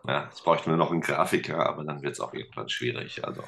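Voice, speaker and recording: Very deep-voiced man, hesitant chat. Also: racing, creaky, bright, forceful tone. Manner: hesitant, many fillers and restarts, joking. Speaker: podcast guest, teacher and musician. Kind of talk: casual conversation. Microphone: remote video call.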